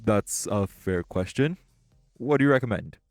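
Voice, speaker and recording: Male, lowish voice, actor as self-assured tech executive for audio roleplay: polished, articulate, friendly yet guarded, music underneath. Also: expressive, no echo. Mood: warm, professional. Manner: polished, measured, articulate corporate speech. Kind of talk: audio roleplay acting, one-sided dialogue.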